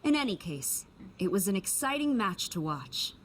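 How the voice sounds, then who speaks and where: A woman's voice: medium pitch, big pitch swings, normal speed, a little hiss or hum, natural voice. A woman voicing a stern, dignified female general in an anime game, reserved and formal.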